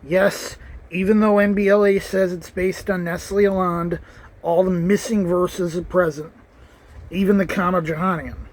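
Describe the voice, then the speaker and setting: High-voiced man vlogging about Bibles. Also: steady tone, moderate pace. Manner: earnest, rambling, with restarts and asides. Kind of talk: casual talk to camera.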